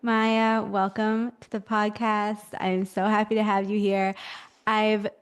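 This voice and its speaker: A woman's voice: medium pitch, flat delivery, slow pace, clean tone, soft background music. A woman hosting a podcast, talking in an effusive, gushing way to her guest. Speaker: podcast host. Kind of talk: scripted intro then casual interview.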